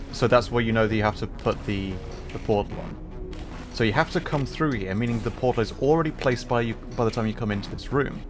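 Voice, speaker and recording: Guy streaming a game, chatty n musing, explains stuff, middle pitch, faint music behind. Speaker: livestreamer. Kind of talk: casual game playthrough commentary.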